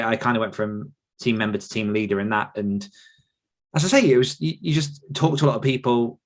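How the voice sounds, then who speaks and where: Male podcast guest, 30s, British, lowish voice, chatty storyteller in relaxed conversational interview.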